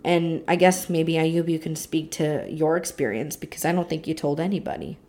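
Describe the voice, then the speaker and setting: Low-voiced young American woman chatting. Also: normal speed, mellow. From United States. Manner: chatty, talkative, with many fillers. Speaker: podcast co-host. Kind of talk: casual chat.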